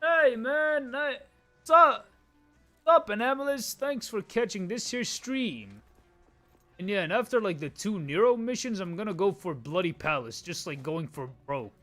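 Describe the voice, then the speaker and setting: A man's voice: high-pitched, expressive, moderate pace, pushed and bright, faint music behind. A man in his late twenties hosting a casual game livestream, chatting to viewers in slangy, informal talk. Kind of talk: casual gameplay chat with viewers.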